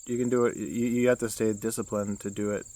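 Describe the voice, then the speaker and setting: A young man with a low baritone voice, giving a casual self-help vlog outdoors, rambling with many restarts and fillers. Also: flat delivery, a little fast, dark tone. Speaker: vlogger.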